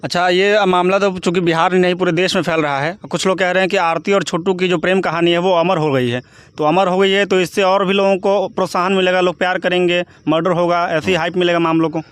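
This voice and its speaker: A man with a high, smooth, clear voice works as a news interviewer. He puts his questions in a dry recording with no room sound. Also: fairly even intonation, fast talker, no room sound.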